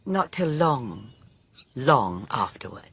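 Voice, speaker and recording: An actor in a radio drama playing a teasing, husky-voiced teller of a ghost legend. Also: male voice, mid-range voice, big pitch swings, slow pace, a bit bright, full character voice. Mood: hushed, knowing.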